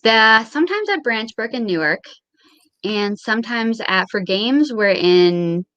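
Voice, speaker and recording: A woman's voice: on the high side, lively intonation, a little slow, fairly bright tone, no room sound, muffled recording. A woman guest in a casual interview chat, talking in an offhand way and laughing between sentences. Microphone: video call.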